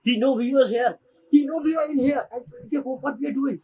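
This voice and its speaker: A man giving casual game reaction commentary, often repeating his phrases in an animated way. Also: extremely high pitch, a little fast, slightly rough. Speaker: game streamer.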